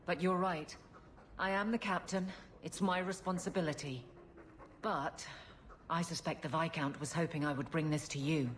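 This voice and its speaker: A woman's voice: low voice, fairly even intonation, normal speed, a little hiss or hum, lightly acted. An actress playing an earnest, worried female guard captain.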